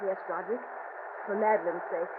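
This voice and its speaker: An actress playing a young, earnest, fearful cousin in a gothic radio horror drama, with a solemn, dutiful manner. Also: female voice, mid-range voice, steady tone, brisk, lightly acted. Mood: anxious. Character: young gentle, fearful female cousin narrating a gothic tale. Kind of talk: 1940s radio horror acting. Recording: tinny, noisy vintage radio broadcast.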